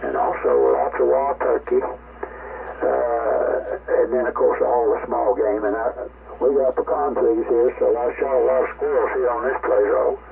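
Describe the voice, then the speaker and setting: A man's voice: mid-range voice, animated, a little slow, gravelly. An older man, reminiscing in a folksy, rambling way over a ham radio link. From rural United States. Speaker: amateur radio operator. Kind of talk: casual reminiscing chat. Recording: tinny, noisy ham radio transmission.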